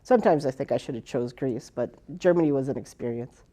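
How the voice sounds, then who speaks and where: Rambling, mellow-voiced woman veteran. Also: a bass voice, a little fast. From United States. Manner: rambling, with many fillers and restarts. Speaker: Air Force veteran interviewee. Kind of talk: personal oral history interview.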